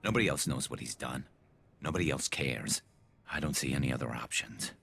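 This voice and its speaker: Gravelly, very deep alien vigilante. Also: male voice, fairly bright tone, a little hiss or hum, heavily acted. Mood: bitter, resolute. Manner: grim, measured, menacing. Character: brooding, vengeful male alien vigilante soldier. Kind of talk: video game voice acting.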